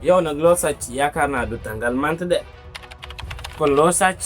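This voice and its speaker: Man playing a stern, scornful cartoon judge, fairly high-pitched. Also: animated, soft background music, natural voice. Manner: scolding, dismissive, deliberate. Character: stern merciless male judge handing out absurd sentences. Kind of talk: comic cartoon courtroom dialogue in Wolof and French.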